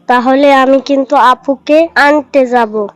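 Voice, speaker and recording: A woman's voice: sits high, steady tone, slow, no echo, faint music behind. A woman voicing a polite, eager, obedient young boy in an Islamic moral cartoon.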